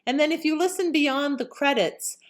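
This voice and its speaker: A woman's voice: high voice, moderate pace. A woman casually introducing an old radio show as its host.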